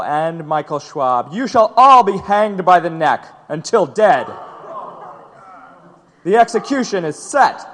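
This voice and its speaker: A man's voice: fairly high pitch, fairly bright tone. Echoing, stern male judge. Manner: formal, commanding. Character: stern male trial judge pronouncing sentence. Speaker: actor in an audio drama. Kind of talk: dramatized courtroom radio acting. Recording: long hall-like reverb.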